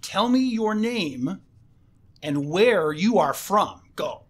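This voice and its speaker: A man with a fairly high voice hosts a casual school radio chat. He talks with many fillers and false starts and keeps circling back to finish his thoughts. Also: sing-song. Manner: halting, many fillers and restarts. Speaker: host, assistant principal.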